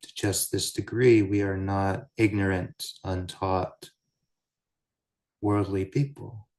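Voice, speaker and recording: A man guiding a meditation as a teacher, speaking in measured, deliberate short phrases with pauses between them. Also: low voice, flat delivery, darkish tone. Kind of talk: guided meditation, unscripted Buddhist teaching.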